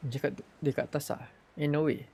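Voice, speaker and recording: Gravelly Malaysian man streaming a game. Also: on the high side, steady tone, fast talker, dark tone, slight background noise. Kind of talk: casual live gameplay commentary, mixing Malay and English. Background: game music.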